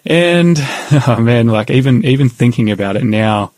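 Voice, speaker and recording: A man, a podcast guest, calmly telling a personal faith story in conversation, often saying "you know". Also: medium pitch, unhurried, no echo. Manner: calm, thoughtful, with frequent "you know".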